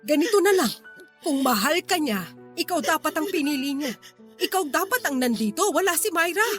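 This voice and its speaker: Radio drama role: exasperated, protective middle-aged mother, high-pitched, very animated, frank and emotional. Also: moderate pace, faint music behind. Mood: blunt warning. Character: worried, blunt older mother, powerless yet protective.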